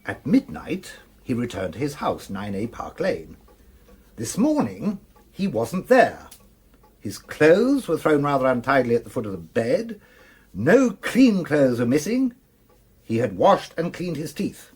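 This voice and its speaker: An earnest English police detective in a radio drama. His voice is very husky, with an animated rise and fall. He lays out the facts briskly and methodically. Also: mid-range voice, full character voice. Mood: puzzled, intent. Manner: brisk, factual, reporting. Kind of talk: BBC radio drama acting.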